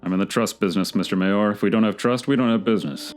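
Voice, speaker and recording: Man playing low-voiced wisecracking hardboiled private eye. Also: quick pace, faint music behind, natural voice. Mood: self-assured. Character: wisecracking hardboiled private detective, self-assured, a little silly. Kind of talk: old-time detective radio serial with narration.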